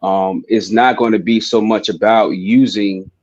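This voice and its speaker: Low-voiced man, calm and measured. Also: dry recording, muffled recording. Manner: calm, measured, pausing with ums. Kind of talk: casual spiritual discussion.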